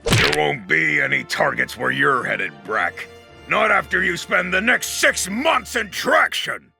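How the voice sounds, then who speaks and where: An actor playing a stern, vengeful space superhero in a motion comic, speaking in grim threats. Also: male voice, fairly high pitch, animated, measured pace, creaky, music in the background, heavily acted.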